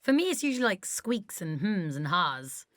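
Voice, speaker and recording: A woman chatting casually as a voice actor being interviewed, playful, jokey and expressive. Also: lowish voice, moderate pace, dry recording, studio quality. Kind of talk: casual chat answering listeners' questions.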